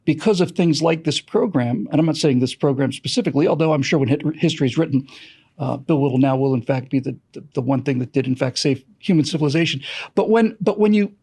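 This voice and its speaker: A man's voice: on the high side, fast talker, a little mellow, breathy edge. An American man giving earnest, off-the-cuff commentary as a host, talking emphatically in long, discursive explanations. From United States. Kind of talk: earnest extemporaneous political and historical commentary.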